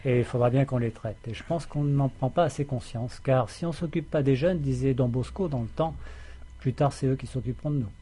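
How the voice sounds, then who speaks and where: A man co-hosting a political radio talk show, giving earnest, opinionated commentary in a measured way. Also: mid-range voice, dark tone, husky.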